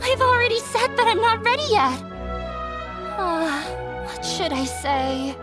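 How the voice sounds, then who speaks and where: Play a shy young woman: very high and bright, slow and hesitant, flustered and unsure, with faint music behind. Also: sing-song, full character voice. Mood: nervous. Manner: hesitant, flustered, self-doubting. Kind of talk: video game dub acting.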